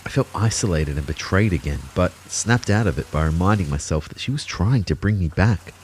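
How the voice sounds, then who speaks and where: An audio drama narrator with a very deep voice. He tells his own story in measured, wry phrases, a self-deprecating everyman. There is noise on the recording. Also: animated, a little mellow, poor audio. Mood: wry, reflective. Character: male narrator, self-deprecating everyman turned invisible ghost. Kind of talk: scripted first-person audio drama narration.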